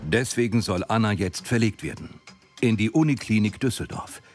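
A man delivers scripted documentary narration in a fairly low voice. The telling is dramatic, built for a medical story, with music clearly playing behind him. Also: lively intonation, normal speed, a little mellow. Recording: prominent music behind the voice-over. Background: music bed.

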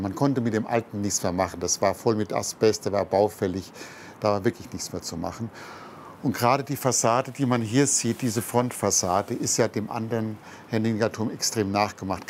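A man in his sixties talking casually in an interview, relaxed and often ending sentences with a questioning tag. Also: lowish voice, slight background noise. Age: 60s. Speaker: interviewee, entrepreneur.

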